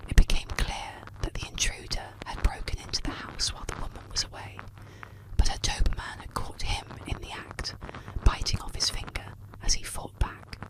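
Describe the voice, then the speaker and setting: An ASMR storyteller narrating scripted tales in a breathy, unvoiced whisper, with soft, deliberate phrasing. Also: low-quality recording.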